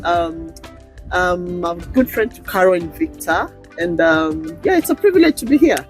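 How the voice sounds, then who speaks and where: A woman's voice: fairly low pitch, animated, normal speed, soft background music. A middle-aged woman with a Kenyan accent, a guest chatting casually with many fillers and frequent laughs. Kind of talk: casual interview chat. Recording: noisy background.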